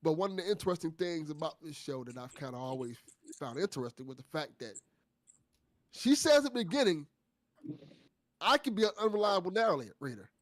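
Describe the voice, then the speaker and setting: A man's voice: fairly high pitch, very animated, a little fast, pauses often, dry recording, clean studio sound. A man co-hosting a casual discussion, talking in a reflective, conversational and appreciative way. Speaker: after-show co-host.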